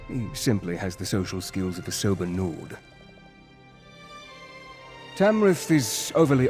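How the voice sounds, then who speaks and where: An actor playing a suave lesser noble in a fantasy video game, measured, articulate and wry as he shares gossip. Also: mid-range voice, a little mellow, lightly acted.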